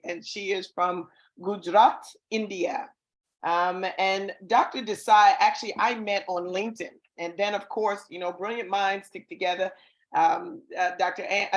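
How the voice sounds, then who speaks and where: A woman's voice: mid-range voice, poor audio. A woman hosting an online awards ceremony over a video call, reading introductions effusively and stumbling over some words. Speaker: awards show host.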